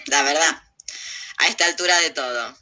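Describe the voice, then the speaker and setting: A woman's voice: sits low, normal speed, sharp tone, highs cut off. A woman with an Argentine accent talking casually and with strong opinions as an interview guest, ironic, provocative and prone to digressions. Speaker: interview guest with own video channel.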